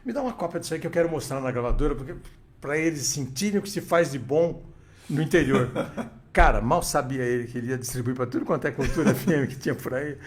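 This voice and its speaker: A man's voice: medium pitch, expressive, fast talker. An older man, an interview guest telling radio anecdotes with many restarts and quoted dialogue. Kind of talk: casual storytelling interview.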